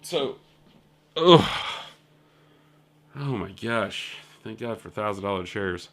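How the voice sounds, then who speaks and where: Young male indie dev, lowish voice, loose rambling video-diary storytelling. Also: animated, takes it slowly, a bit bright. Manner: rambling storytelling with filler words and asides. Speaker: indie game developer. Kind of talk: casual video diary recap.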